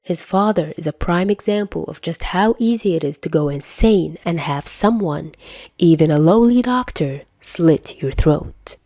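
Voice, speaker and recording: A woman reading fiction aloud as an expressive storyteller. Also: on the low side, measured pace, dry recording. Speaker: podfic narrator.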